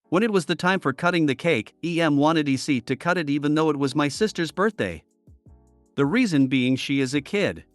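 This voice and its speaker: A man narrating reddit posts in a plain, even read-aloud style. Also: fairly high pitch, dry recording. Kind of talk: scripted narration reading posts.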